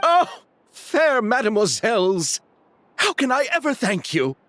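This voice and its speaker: A man's voice: very high-pitched, wildly swooping pitch, a little slow, bright, forceful tone, slight background noise, full character voice. A man playing a passionate, gallant young film director in a game, with a florid, courtly and earnest manner. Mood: gallant, surprised. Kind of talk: theatrical game character acting.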